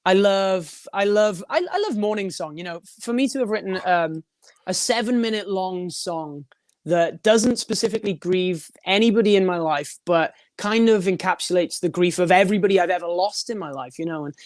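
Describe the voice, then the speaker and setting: A young man with a high voice, a band singer talking in a casual podcast interview, rambling with many restarts and little laughs. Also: normal speed, dry recording, clean studio sound. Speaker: guest, band frontman and vocalist.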